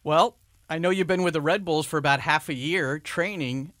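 A man's voice: on the high side, moderate pace, fairly bright tone, dry recording. A man hosting an interview, asking questions in a conversational, lightly teasing way. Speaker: interview host. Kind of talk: radio interview.

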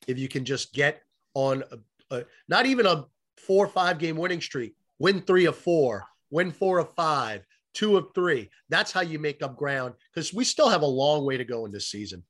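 A man's voice: on the high side, a bit bright. A man who works as a sports announcer, giving emphatic, opinionated conversational commentary on a podcast. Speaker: radio play-by-play announcer, podcast guest.

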